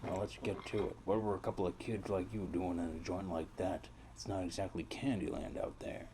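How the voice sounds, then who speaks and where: A man's voice: sits low, steady tone, a little fast, background noise, lightly acted. A man playing a world-weary, brooding private detective in a noir audio drama, narrating in florid, rambling, repetitive phrases. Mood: wry, flirtatious.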